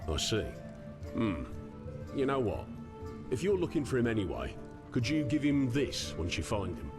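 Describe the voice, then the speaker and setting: A man's voice: medium pitch, very animated, normal speed, music in the background. An actor playing a folksy, gossipy working townsman in a fantasy video game, informal, knowing and matter-of-fact. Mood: casual, knowing. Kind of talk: video game character dialogue.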